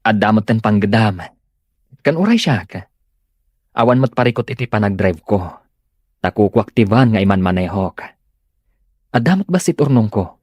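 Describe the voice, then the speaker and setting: Man acting a husky, sincere young suitor. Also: lowish voice, expressive, moderate pace, pauses often, darkish tone, no room sound. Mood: earnest, determined. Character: sincere, determined young suitor of modest means. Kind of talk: Ilocano audio drama acting.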